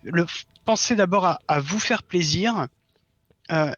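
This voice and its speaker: A man's voice: high-pitched, unhurried, a bit bright, dry recording, lo-fi sound. A man chatting casually as a guest on a livestream, explaining things earnestly and giving advice. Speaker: interview guest, audio fiction author. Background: background music.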